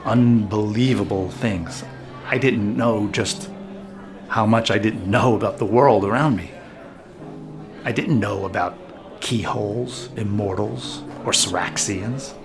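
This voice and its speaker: A man playing an earnest, humble boat captain in an audio drama, speaking sincerely and a bit haltingly. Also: on the low side, over music. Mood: earnest, tender. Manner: halting, sincere, plain-spoken. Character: earnest, humble former boat captain in love-tinged friendship. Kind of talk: naturalistic audio drama acting.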